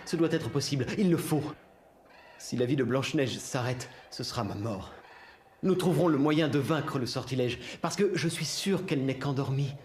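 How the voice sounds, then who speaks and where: A man's voice: medium pitch, moderate pace, darkish tone, a little hiss or hum, close to an everyday voice. A man voicing a noble, romantic young prince with a formal, theatrical manner in a dubbed cartoon. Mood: desperate pleading. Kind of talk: dubbed fairy-tale cartoon acting.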